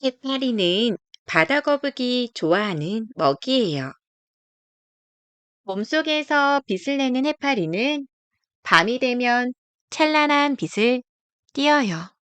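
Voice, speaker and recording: A woman reading a children's nature picture book aloud, explaining gently to young listeners. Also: fairly high pitch, expressive, slow pace, smooth, dry recording.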